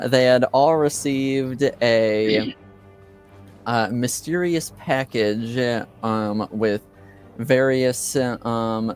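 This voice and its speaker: A man running a tabletop game as game master, recapping the story casually in a halting, thoughtful way with long pauses. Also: medium pitch, clear, resonant tone.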